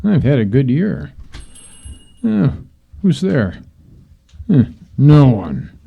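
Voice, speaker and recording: A gloating old miser for a radio play. The voice is creaky and unhurried, with big swoops in pitch. He sneers and blusters like a small-time tyrant. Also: big pitch swings, phone-quality sound, exaggerated voice. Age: older. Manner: sneering, blustering, chuckling to himself. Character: mean, gloating old miser and crooked businessman.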